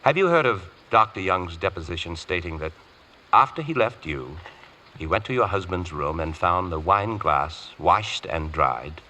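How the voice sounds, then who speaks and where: A man's voice: deep voice, animated, normal speed, pushed and bright. A man playing an eloquent, intense defence barrister in a vintage radio courtroom drama, speaking in a formal, pressing way. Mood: probing, insistent. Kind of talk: 1940s-50s radio courtroom drama acting. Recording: faintly noisy vintage radio recording.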